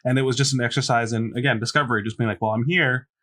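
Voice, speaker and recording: A man's voice: medium pitch, fairly even intonation, racing, pushed and bright. A man who ran the game, chatting casually and laughing often about how the session went. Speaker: game master. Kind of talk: casual chat.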